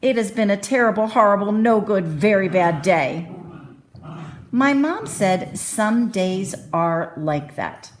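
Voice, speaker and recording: A woman's voice: medium pitch, lively intonation, takes it slowly. A woman reading a children's bedtime story aloud expressively, stretching key phrases with dramatic emphasis.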